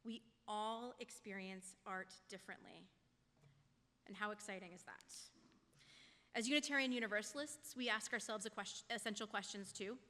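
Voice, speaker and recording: A woman with a bright, forceful voice delivers a prepared sermon. She speaks in a measured, reflective way at a middle pitch. Her words ring with the long reverb of a church hall. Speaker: lay speaker giving a sermon, a teacher. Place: church sanctuary.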